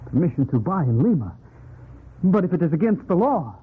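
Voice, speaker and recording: An actor playing an eager, excitable young trader in an earnest, exclamatory 1940s radio drama style. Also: male voice, fairly high pitch, a little fast, breathy edge, full character voice. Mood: anxious curiosity. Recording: tinny, noisy 1940s radio recording.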